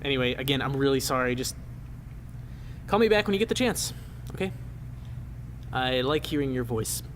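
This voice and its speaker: An actor playing an awkward, apologetic, fond man leaving a phone message, halting and sheepish, in a naturalistic audio drama. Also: mid-range voice, animated, slight background noise, natural voice.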